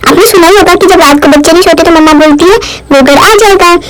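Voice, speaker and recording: A woman's voice: extremely high pitch, fast, rough recording. A woman voicing a cheeky baby boy who crawls about and chats about his day in sing-song baby talk, as vlog voice-over narration. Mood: playful.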